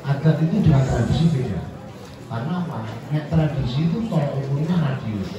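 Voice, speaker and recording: An older man with a Javanese accent, a community elder answering students' questions in a rambling, folksy way. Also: mid-range voice. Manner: rambling, folksy, slips into Javanese, counts points off. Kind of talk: informal explanatory talk to students. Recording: echoey hall, far from mic, dull sound, crowd.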